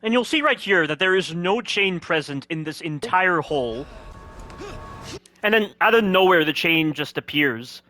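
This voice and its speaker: A man's voice: a little high, lively intonation, moderate pace, bright, forceful tone. A man giving critical voice-over commentary on game cutscenes, asking one rhetorical question after another.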